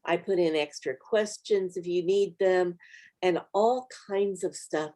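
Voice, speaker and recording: A middle-aged woman with a somewhat low voice co-hosts a Bible study. She talks in an earnest, encouraging way and passes on practical advice in a casual conversation. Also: a little slow, mellow. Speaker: Bible study author and co-host.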